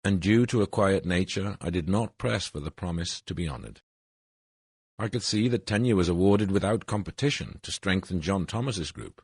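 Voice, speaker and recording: Deep, husky older man reading. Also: darkish tone, dry recording. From Wales. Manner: measured, formal reading with falling phrase ends. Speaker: essay narrator. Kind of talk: reading an autobiographical essay.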